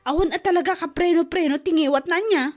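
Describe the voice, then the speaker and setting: A woman's voice: very high voice, fast. A woman with an Ilocano accent playing a feisty, exclamatory village woman in a comedy drama. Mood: annoyed.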